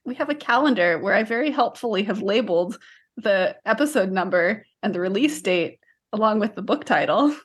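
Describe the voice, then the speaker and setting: A woman's voice: medium pitch, lively intonation, fairly quick, husky. A woman co-hosting a podcast in casual chat, matter-of-fact with a dry, teasing streak. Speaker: podcast co-host.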